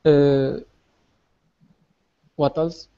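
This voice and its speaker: A man, a language learner speaking halting, hesitant English on a video call. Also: on the high side, level tone, warm tone, smooth. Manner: hesitant, halting English, self-deprecating laughs. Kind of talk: casual video call chat. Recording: dull lo-fi video call audio.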